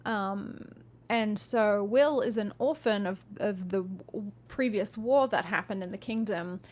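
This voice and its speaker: A woman's voice: medium pitch, a little slow, a little mellow. A woman streaming live, reading a fantasy novel aloud and chatting with viewers in a friendly, rambling way with frequent ums.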